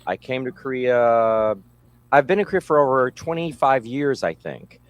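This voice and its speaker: A man's voice: mid-range voice, animated, normal speed, dry recording. A middle-aged man, telling a story in a reflective way. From United States. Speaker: interviewee, English teacher.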